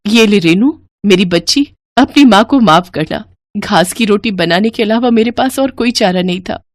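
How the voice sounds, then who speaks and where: Woman as a gentle, pleading village wife. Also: mid-range voice, moderate pace, lightly acted. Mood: apologetic, tender. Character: caring, humble poor village wife and mother. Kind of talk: animated moral story dialogue.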